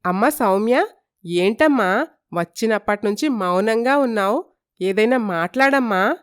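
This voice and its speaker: A woman voicing an excited, doting middle-aged mother-in-law in a cartoon story, gushing and fussy. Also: on the high side, unhurried, clear, resonant tone. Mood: delighted, fussing.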